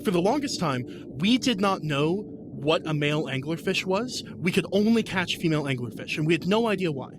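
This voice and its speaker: A man's voice: on the high side, expressive, fairly quick, few pauses, background noise. A man speaking as a podcast guest and game master, explaining fantasy lore casually in an enthusiastic, rambling way.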